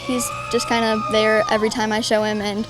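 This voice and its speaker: Young woman from florida, horse rider, upbeat, a bit high-pitched, clear music behind. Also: flat delivery, a little fast, low-quality recording. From Central Florida. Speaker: horse show competitor.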